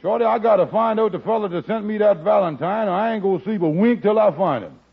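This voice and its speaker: A man's voice: high voice, fast talker, vocal fry, slightly rough, heavily acted. A man acting an indignant, blustering husband in a golden age radio sitcom, with folksy, ungrammatical speech. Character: Kingfish, indignant, blustering husband turned amateur sleuth. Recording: muffled vintage radio recording.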